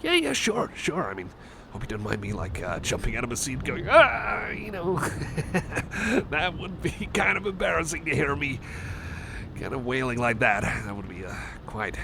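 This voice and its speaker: Man playing an anxious cyborg buddy, gravelly, stammering. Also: medium pitch, very animated, moderate pace. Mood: excited, sheepish. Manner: stammering, hesitant, apologetic, rambling. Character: anxious, friendly male cyborg buddy frightened of thunderstorms. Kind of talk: ASMR roleplay, improvised rambling to the listener. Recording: noisy, storm-like ambience behind.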